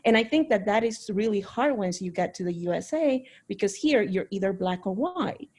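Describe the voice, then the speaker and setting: Mellow, husky-voiced woman on a panel. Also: mid-range voice, expressive, moderate pace. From Caribbean, raised in Miami. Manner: earnest, reflective, personal. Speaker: panel participant. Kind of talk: discussion remarks.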